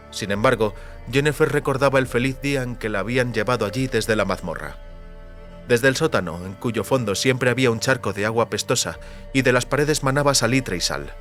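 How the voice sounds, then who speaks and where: A man narrating an audiobook in a measured, literary storytelling manner. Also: medium pitch, a bit bright.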